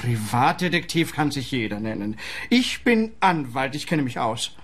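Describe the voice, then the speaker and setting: An actor playing a smug, shady lawyer in a radio play, speaking in a pompous, self-satisfied way. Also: male voice, mid-range voice, sing-song, normal speed, fairly bright tone, slight background noise.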